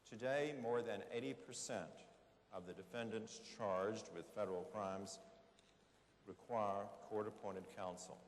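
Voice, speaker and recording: A man giving formal opening remarks in a large hall, speaking in measured short phrases with pauses. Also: on the low side, fairly even intonation. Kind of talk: formal prepared speech. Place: large hall at a public event. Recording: echoey hall, background noise.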